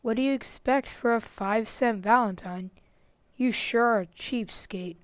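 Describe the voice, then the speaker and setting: A woman's voice: fairly high pitch, measured pace, no room sound, lightly acted. A woman playing a bossy, know-it-all older boy in an old-time radio family comedy. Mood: superior, matter-of-fact. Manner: superior, matter-of-fact.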